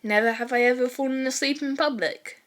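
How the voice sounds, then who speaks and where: High-voiced woman reading a story expressively. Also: steady tone, fairly quick, fairly bright tone. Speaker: fan fiction narrator. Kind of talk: expressive amateur audiobook reading of a story, with dramatized character lines.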